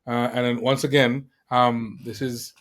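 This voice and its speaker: Brief: male host, mid pitch, encouraging, halting repeats while thinking. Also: flat delivery, a bit bright. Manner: supportive, many short affirmations, repeats words while thinking. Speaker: podcast host. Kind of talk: casual interview talk.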